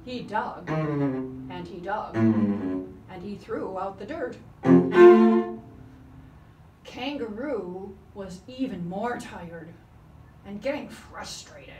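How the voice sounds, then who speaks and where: A woman narrating a folk tale expressively and deliberately, with drawn-out phrases. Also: medium pitch, big pitch swings, loud music behind the voice. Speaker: storyteller. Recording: echoey room, distant microphone. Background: live cello playing.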